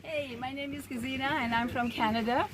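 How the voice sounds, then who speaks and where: A woman's voice: high voice, steady tone, brisk. Enthusiastic woman guest outdoors, noisy. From Sri Lanka. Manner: enthusiastic, gushing. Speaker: tour guest. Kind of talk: casual chat. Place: outdoors on a farm. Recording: noisy outdoor phone recording.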